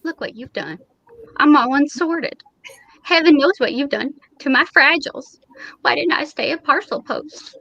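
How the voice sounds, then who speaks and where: A woman's voice: high voice, expressive, unhurried, vocal fry, sharp tone, no echo, dull audio, heavily acted. A woman playing a fussy, lovelorn and easily wounded mailman in old time radio comedy style, with dramatic indignation.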